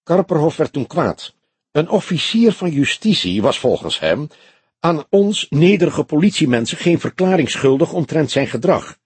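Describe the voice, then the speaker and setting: A man's voice: on the high side, big pitch swings, normal speed, darkish tone, husky, dry recording, pitched above the performer's own voice, heavily acted. A man voicing an earnest young male detective, a questioning and slightly wry junior partner in an audiobook.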